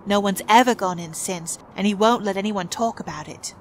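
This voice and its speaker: An actress playing a kindly young housemaid, telling things in a homely, gossipy way. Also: female voice, medium pitch, normal speed, slight background noise, lightly acted, low-quality recording. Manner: gossipy, homely dialect storytelling.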